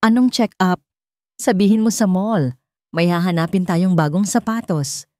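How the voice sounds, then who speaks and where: A woman's voice: lowish voice, measured pace, a little mellow, no echo, voice pushed up, lightly acted. A woman voicing an impatient, bossy daughter-in-law who cuts in sharply and demandingly in an audio drama story. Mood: loud, scolding.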